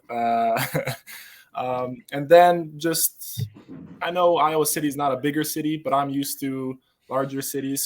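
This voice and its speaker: A man in his twenties from Serbia answering casually as an interview guest, with hesitant, halting phrasing. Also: mid-range voice. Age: 20s. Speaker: basketball player guest.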